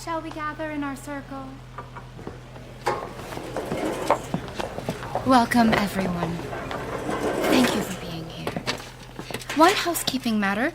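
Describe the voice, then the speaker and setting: An actress playing a solemn, gentle bereavement group leader in a fiction podcast, speaking in a measured, formal manner. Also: female voice, high-pitched, animated, heavy background noise. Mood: solemn, welcoming. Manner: measured, formal, deliberate phrasing.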